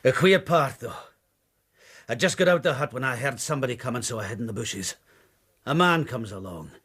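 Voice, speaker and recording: A man acting in a radio drama as a rough, sardonic whaling harpooner, gravelly and husky, with blunt sailor's talk. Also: medium pitch, animated, fairly quick, a bit bright. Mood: defiant, wry.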